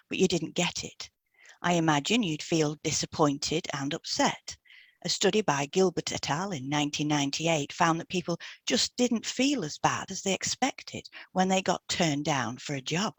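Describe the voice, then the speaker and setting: A woman's voice: low-pitched, animated, moderate pace, husky, no echo. A woman reading a scripted educational piece aloud as a podcast co-host.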